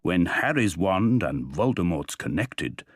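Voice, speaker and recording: Male narrator, lowish husky voice, lively melodic storytelling, dry recording, faint music. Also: a little slow, background noise. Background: soft background music.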